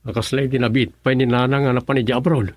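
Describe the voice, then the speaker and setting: A medium-pitched voice of a worried young grandson, played by an actor in a radio drama. He confides earnestly, recorded close and dry. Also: fairly even intonation, fast, dry recording, lightly acted. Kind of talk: Ilocano radio drama acting.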